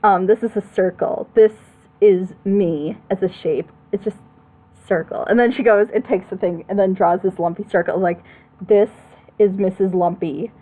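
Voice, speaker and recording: A woman's voice: medium pitch, fairly even intonation, moderate pace, narrow, tinny audio. A woman hosting a casual reaction show, giving opinions in an emphatic, rambling way. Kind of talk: casual chat, opinionated commentary.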